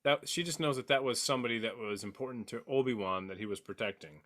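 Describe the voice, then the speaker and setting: A man debating casually as a podcast panelist, haltingly restarting his thoughts as he argues. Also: medium pitch, fairly bright tone. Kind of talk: casual debate about a TV series.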